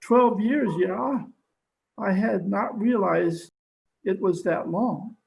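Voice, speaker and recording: A man acts out a formal music master in an old-time radio play. He is slow, halting and apologetic, with a high, creaky voice over faint noise. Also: heavily acted, rough recording. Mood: uneasy. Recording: faint hiss on home recording.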